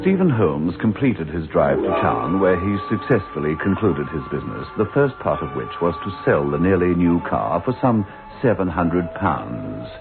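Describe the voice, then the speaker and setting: A man's voice: sits low, sing-song, moderate pace, gravelly, few pauses, over music, full character voice. A man narrating a scripted radio drama as a wry storyteller. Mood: measured. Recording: tinny old radio recording.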